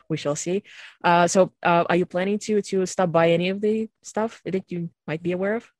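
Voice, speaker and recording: A podcast host moderating an online group hangout, chatting casually in a wordy, halting way. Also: medium pitch, fairly even intonation, no room sound. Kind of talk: casual chat with hesitations. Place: online video call.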